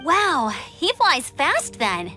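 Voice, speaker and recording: A woman's voice: high-pitched, wildly swooping pitch, drawn-out words, bright, forceful tone, soft background music, full character voice. A woman playing a cheerful, earnest young knight scout and gliding instructor in a video game. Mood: surprised. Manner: perky, eager, encouraging. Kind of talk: animated video game character acting.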